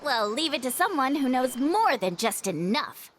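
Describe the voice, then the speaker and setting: A woman voicing a brash, excitable teenage girl adventurer in a video game, cheeky and casual. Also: high voice, moderate pace, slight background noise. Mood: boastful. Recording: music under the voice.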